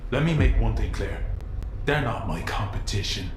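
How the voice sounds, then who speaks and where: A man's voice: lowish voice, lively intonation, moderate pace, slight background noise, natural voice. Man as a blunt underworld trader, echoey room. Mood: scornful. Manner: blunt, sardonic. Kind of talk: video game character dialogue. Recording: roomy echo, music behind.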